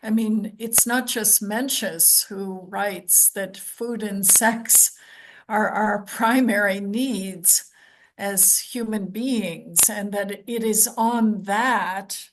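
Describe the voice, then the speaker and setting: An older woman speaking on a roundtable panel, hoarse and croaky from a lingering cold, thoughtful and unhurried with many pauses and ums. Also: medium pitch, a little hiss or hum, poor audio. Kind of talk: academic roundtable talk.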